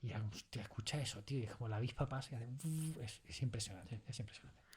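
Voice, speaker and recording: A man's voice: medium pitch, very fast, slight background noise. A man with an accent from Spain talking casually as an interview guest, repeating phrases for emphasis. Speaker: audio fiction scriptwriter, interview guest.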